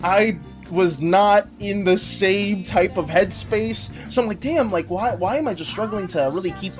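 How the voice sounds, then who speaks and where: A man livestreaming in casual chat, rambling and stammering as he thanks viewers, with a slightly hoarse, dry voice. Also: high-pitched, unhurried, soft background music. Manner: rambling, stammering, repeats words; reads chat messages aloud and thanks viewers.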